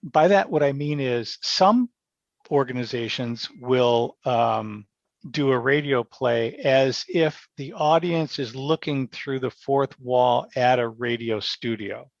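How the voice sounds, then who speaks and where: A man with a gravelly edge to his mid-pitched voice talks as an interview guest, explaining his approach in a conversational way. He circles back to rephrase his points. The sound is dry and slightly dull. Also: takes it slowly, dry recording. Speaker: radio theatre director, podcast guest.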